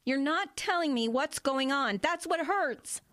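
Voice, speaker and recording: A woman's voice: high-pitched, lively intonation, a little slow, fairly bright tone. A woman acting a worried, exasperated wife in an audio drama, pleading and insistent in a natural way. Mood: hurt, pleading. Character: worried, exasperated wife who works with patients.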